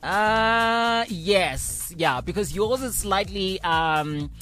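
South African male radio co-host, animated, expressive, casual banter. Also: sits high, faint music behind. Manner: animated, quick to laugh, opinionated.

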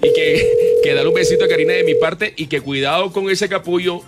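A man with a Colombian accent, hosting a casual live radio show in an excitable, exclamatory way. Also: on the high side, brisk, loud music behind the voice. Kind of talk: casual live radio banter with prank-style birthday calls.

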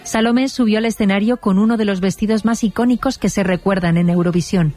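A woman narrating a documentary in an even, informative scripted read. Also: medium pitch, a little fast, faint music behind.

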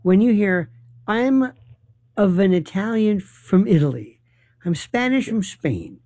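A man hosts a casual talk, his voice mellow and warm. He is animated and halting, starting sentences over and filling gaps with "uh". The sound is dry and close. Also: sits high, no echo. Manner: animated, halting, many restarts and fillers. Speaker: host. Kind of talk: casual conversation.

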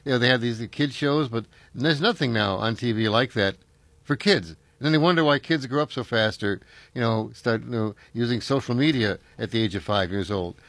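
A man's voice: mid-range voice, moderate pace, fairly bright tone, no echo. An older man, hosting a casual chat and reminiscing in a rambling way, full of fillers and restarts.

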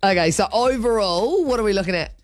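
NZ-accented female radio host, bouncy animated delivery, casual and laughing. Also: medium pitch, fast, dry recording, clean studio sound, faint music behind. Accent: New Zealand. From New Zealand. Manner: chatty, laughs often.

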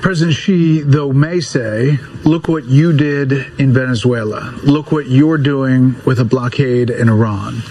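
A man's voice: medium pitch, a little slow, few pauses, dark tone, low-quality recording. A man, asking questions as the host of a news interview.

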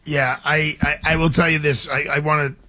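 A man's voice: a little high, normal speed, dry recording. Chatty man host, dry studio sound. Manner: energetic, chatty, stumbling restarts. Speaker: radio show host. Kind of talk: casual radio talk.

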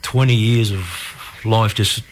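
Aussie bloke, middle-aged, lowish voice, plain-spoken casual guest on an interview. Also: male voice, fairly even intonation, a little slow, slight background noise. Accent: Australian. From Ipswich region, Australia. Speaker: community group founder, interview guest. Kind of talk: casual, candid talk.

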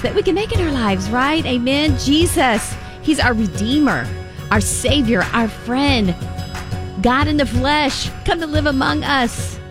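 A woman delivering an evangelistic radio message in a fervent, emphatic, exhorting way. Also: high-pitched. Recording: loud music under the voice.

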